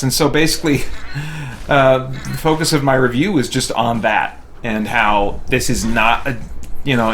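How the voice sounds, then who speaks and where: A man's voice: medium pitch, a bit bright. A man chatting casually as he hosts a game livestream, rambling and thinking aloud. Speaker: game streamer and reviewer, host of the stream. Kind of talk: casual livestream chat while playing a game. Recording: clear background noise.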